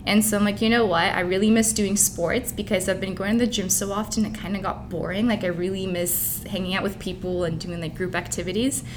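A woman's voice: fairly even intonation, fairly quick, hardly any pauses. A young woman who grew up in Canada and Dubai, chatting informally and openly as an interview guest. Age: young adult. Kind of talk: casual chat. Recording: clear background rumbling noise.

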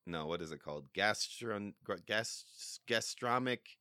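Male podcast co-host, casual rambler, bright lively tone, dry sound. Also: lowish voice, unhurried, smooth, dry recording. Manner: rambling, sidetracked, restarts words, lots of "like". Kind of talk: casual comedic banter.

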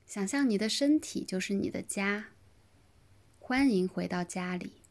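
Slow, gentle woman guiding meditation. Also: medium pitch. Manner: gentle, soothing, unhurried phrasing with long pauses. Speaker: yoga nidra meditation guide. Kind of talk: guided relaxation meditation.

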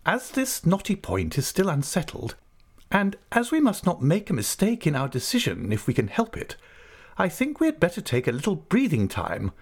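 A stern, decisive elderly aunt, as a male narrator puts her on in a storybook reading. She speaks high and emphatically, with a firm, commanding air beneath her fondness. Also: huge pitch swings, moderate pace, husky, exaggerated voice. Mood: brisk, emphatic. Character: brisk, stern but fond elderly aunt, a woman. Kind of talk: audiobook character voice.

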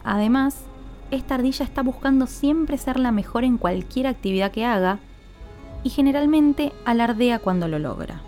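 A woman's voice: fairly high pitch, animated, moderate pace, darkish tone, soft background music. A woman narrating a scripted podcast in an explanatory way, speaking directly to listeners. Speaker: podcast host.

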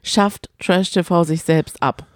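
A woman with a fairly low voice co-hosts a casual podcast. She talks in a loose, colloquial, rambling storytelling style. The sound is very dry, with no room around it. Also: steady tone, slow, a little mellow, dry recording. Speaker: podcast co-host. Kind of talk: casual chat.

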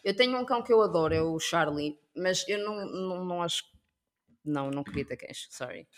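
A woman with a European Portuguese accent chatting casually with friends as a podcast co-host, slangy and animated, dropping in English words. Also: lowish voice, fairly quick. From Portugal, with African roots. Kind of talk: casual chat among friends.

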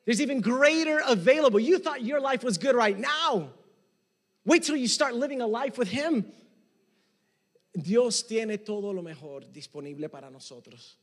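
A Puerto Rican man preaches a sermon with a high voice. He moves between Spanish and English, pressing hard on some phrases and dropping to a hush on others. The sound carries a long hall echo. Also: lively intonation, measured pace. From Puerto Rico. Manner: emphatic, rising and dropping to near hush. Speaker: pastor.

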